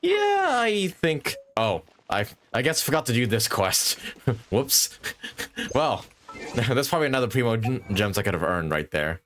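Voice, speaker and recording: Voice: mid-range voice, huge pitch swings, a little slow, fairly bright tone. A game streamer giving casual live gameplay commentary in wry, muttered reactions. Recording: game music behind.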